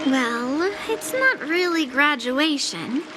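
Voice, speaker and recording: With clear ambience behind her, a high, youthful female voice speaks in measured phrases. a composed, encouraging sword mentor from an anime game. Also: big pitch swings, noisy background, exaggerated voice. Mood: calm, encouraging. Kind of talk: anime-style game voice acting.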